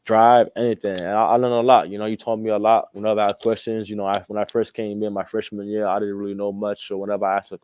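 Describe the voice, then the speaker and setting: A man's voice: fairly low pitch, level tone, fast, hardly any pauses, darkish tone, slight background noise. A young man from Australia, a college athlete being interviewed, talking casually with informal, repetitive phrasing. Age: young adult. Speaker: college basketball player, interview guest.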